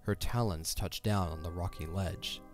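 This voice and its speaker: A man with a low voice narrates a fantasy audio drama. His delivery is scripted and dramatic, with faint music playing underneath. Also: normal speed. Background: background music.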